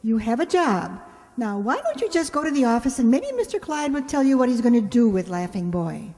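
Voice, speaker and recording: Sensible, coaxing roommate for radio comedy, female actor: high voice, very varied melody. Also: very animated, a little fast, a little mellow. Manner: reasoning, placating. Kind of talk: old-time radio comedy acting. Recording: echoey hall, long reverb.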